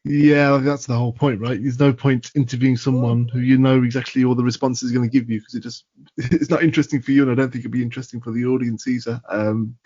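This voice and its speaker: A man's voice: mid-range voice, little pitch movement, fast talker, warm tone, dull audio. A man hosting a podcast, chatting casually with his guest and laughing along. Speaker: podcast host. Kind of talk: casual interview conversation.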